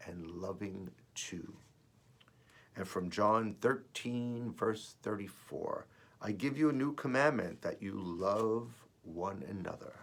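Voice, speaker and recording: A man with a raspy, slightly under-the-weather voice, reading and reflecting as host of an earnest spiritual message, with deliberate pauses. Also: on the low side. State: voice rough while recovering from feeling unwell. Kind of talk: devotional reading and personal reflection.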